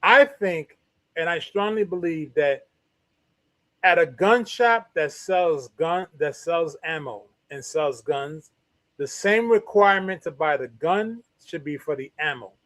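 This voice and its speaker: A man with a notably high voice co-hosts a talk show, speaking in a wry, measured way as he thinks his answers through. The recording is dry and close, with no room sound. He answers in a deliberate, thoughtful manner. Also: a bit bright, no echo. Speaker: co-host. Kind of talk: casual talk show discussion.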